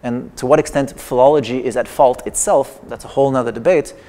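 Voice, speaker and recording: A man's voice: mid-range voice, fairly even intonation, a little fast, dark tone. A man whose first language is Persian gives a wry, digressive lecture performance in a large museum exhibition hall. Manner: wry, digressive, with playful humor. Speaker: artist giving a lecture performance. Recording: long echoing hall reverb.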